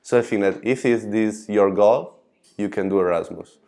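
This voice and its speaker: Casual young man, slightly low voice. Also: normal speed, mellow. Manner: casual, trails off with "blah, blah". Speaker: exchange student. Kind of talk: documentary interview answer.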